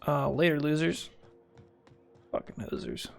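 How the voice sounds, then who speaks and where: A woman's voice: low voice, wildly swooping pitch, unhurried, creaky, darkish tone. A woman giving casual, flippant, joking commentary while streaming a video game. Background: game music.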